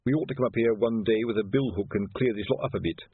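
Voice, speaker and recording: A man voicing a weary, gruff middle-aged male watch sergeant. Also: on the low side, fast talker, dry recording, narrow, tinny audio. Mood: reminiscing.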